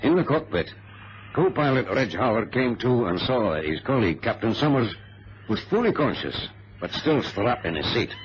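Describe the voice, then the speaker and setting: An actor playing a brisk, precise ex-colonel who tells his adventure in a formal radio-drama style. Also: medium pitch, very animated, natural voice. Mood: dramatic. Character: brisk ex-colonel plane passenger, an orderly organizer. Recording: noisy muffled vintage radio drama recording.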